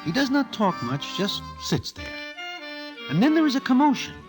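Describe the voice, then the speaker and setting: An actor playing a streetwise New York crony who narrates a vintage radio drama in colloquial, deliberate present tense. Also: male voice, very high-pitched, wildly swooping pitch, exaggerated voice. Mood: wry, knowing. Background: music.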